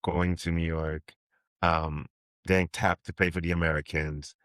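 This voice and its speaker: Deep-voiced man musing in an interview. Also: little pitch movement, normal speed, a bit bright, dry recording, clean studio sound. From Haiti. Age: 50s. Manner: rambling, many restarts and ums. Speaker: novelist guest. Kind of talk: interview conversation.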